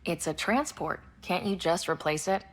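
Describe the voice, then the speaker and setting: A woman's voice: fairly low pitch, normal speed, natural voice. A woman playing a confident, friendly young space explorer in a video game, with an assured, dryly wry manner. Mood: supportive, determined.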